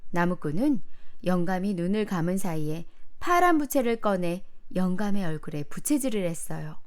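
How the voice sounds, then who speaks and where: A woman narrating a children's storybook in an expressive, careful storytelling manner meant for young children. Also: mid-range voice. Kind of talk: animated children's storybook reading.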